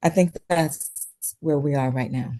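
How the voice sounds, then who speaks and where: Actress as female psychiatrist, lower-pitched, measured, concerned, guarded, professional, script table read. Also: warm tone. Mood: calm concern. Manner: measured, professional, hesitant at times.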